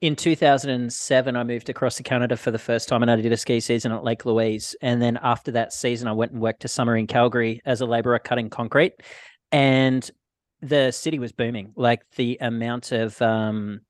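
A man's voice: mid-range voice, fairly even intonation, brisk, no echo, studio quality. A man, hosting a casual interview and calling his guest "mate". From Australia. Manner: friendly, says "mate", asks open questions. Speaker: podcast host.

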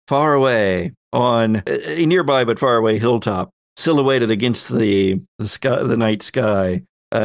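A man acting as game master, improvising narration for a tabletop game and repeating phrases haltingly as he goes. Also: lowish voice, no room sound, studio quality.

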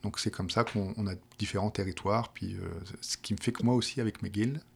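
Gravelly middle-aged Parisian man. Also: lowish voice, steady tone, a little fast. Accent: Parisian French. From France. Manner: hesitant, many restarts and fillers. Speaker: project manager for a provincial health plan. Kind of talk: explanatory interview answers.

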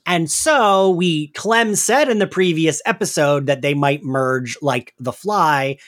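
A man's voice: on the high side, slow pace, a bit bright, no room sound, clean studio sound. A man hosting a podcast, casually recapping an episode in informal, chatty speech peppered with "like". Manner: informal, frequent "like" and swearing. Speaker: podcast host.

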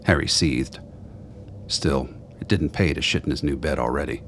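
A man delivers scripted narration for a radio play. His voice is extremely low and heavy with gravelly creak. A little noise is audible behind him. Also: normal speed, fairly bright tone.

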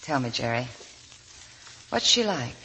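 A woman's voice: a bass voice, very animated, measured pace, sharp tone, exaggerated voice. Knowing young woman, echoing old radio. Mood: wry, curious. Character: weary, perceptive young woman, gently teasing. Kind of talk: 1940s radio drama acting. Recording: echoing, hissy vintage radio broadcast.

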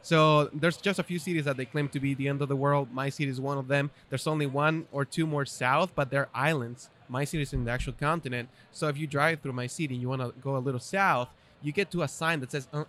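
A man's voice: medium pitch, steady tone, quick pace, hardly any pauses, fairly bright tone, a little hiss or hum. A man from Chile with a Chilean Spanish accent, a native Spanish speaker chatting as a podcast guest, laughing often and restarting phrases. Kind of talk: casual interview chat.

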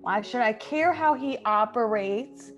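A woman's voice: a little high, unhurried, faint music behind, natural voice. A woman playing a weary, jealous young dog sitter in a comedic radio play. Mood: exasperated. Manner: put-upon, exasperated.

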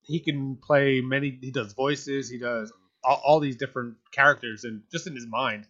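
A man co-hosting a casual movie podcast, slow and thoughtful. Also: medium pitch, fairly even intonation, bright, forceful tone, muffled recording.

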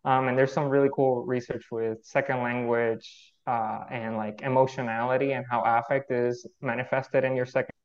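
A man from Puerto Rico, a native Spanish speaker, explains research ideas as a guest on a video call. His mid-pitched voice comes through dull, lo-fi audio. He hedges and restarts often. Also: level tone, normal speed. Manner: thoughtful, many fillers and restarts. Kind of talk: casual explanatory interview.

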